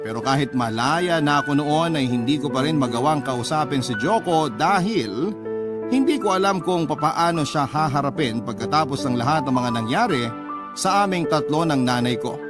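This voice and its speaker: A Filipino man narrates a scripted first-person story as a radio host. His voice is a little high for a man. Music plays clearly beneath the narration. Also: expressive, normal speed. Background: music under the narration.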